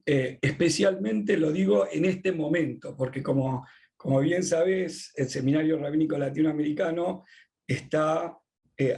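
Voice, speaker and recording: Argentine rabbi guy, kinda gravelly, slow thoughtful answers with lots of ehs. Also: fairly high pitch, darkish tone. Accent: Argentine Spanish. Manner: measured, many drawn-out "eh" fillers. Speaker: rabbi, podcast guest. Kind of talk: thoughtful conversational interview.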